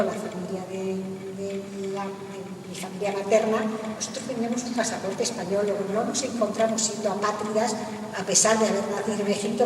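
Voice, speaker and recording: An older woman from Egypt talks at a public panel, looking back on her life and often pausing to restart a phrase. Her voice sits in the middle of the usual range for a woman. It comes from a distance, with strong echo and a long hall reverb. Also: medium pitch, background noise. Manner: reflective, with frequent hesitant restarts. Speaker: panel speaker.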